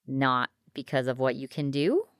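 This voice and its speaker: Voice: medium pitch, normal speed, clean tone, no room sound, clean studio sound, lightly acted. A woman voicing a nervous, vulnerable sixteen-year-old junior private who is easily exasperated, in an audiobook story.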